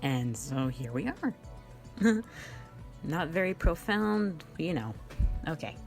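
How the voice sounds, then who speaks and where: A woman's voice: sits low, sing-song, slow, darkish tone, faint music behind. A young woman hosting a casual question-and-answer chat, giggly, rambling and playfully self-mocking. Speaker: online channel host and amateur voice actor.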